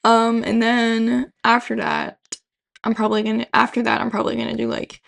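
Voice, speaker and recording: Young woman, creaky voice, casual commentary. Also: on the high side, completely flat delivery, moderate pace, fairly bright tone. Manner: informal, slangy. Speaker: YouTube horror video host. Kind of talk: casual video commentary and recap.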